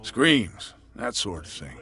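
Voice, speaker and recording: An actor playing a wary middle-aged island bartender in a video game, telling local lore with lively pitch. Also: male voice, slow, heavily acted. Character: gruff middle-aged male island barkeeper.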